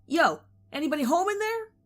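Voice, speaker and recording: A woman's voice: very high voice, sing-song, brisk, voice pushed up. A woman voicing a snarling young man. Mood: yelling. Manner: yelling, spitting insults. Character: volatile hostile young man, cursing.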